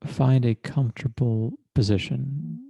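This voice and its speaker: A man leading a guided meditation as a teacher, speaking in a deliberate, measured way with long pauses and formal, precise wording. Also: mid-range voice, steady tone, creaky, mellow, slightly rough, no echo.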